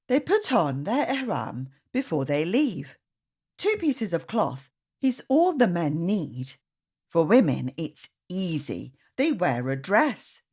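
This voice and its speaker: A woman narrates a rhyming storybook for young children. Her voice sits on the low side and has a husky grain. She takes her time over each line, in a measured storytelling style. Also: darkish tone. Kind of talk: read-aloud rhyming picture-book narration.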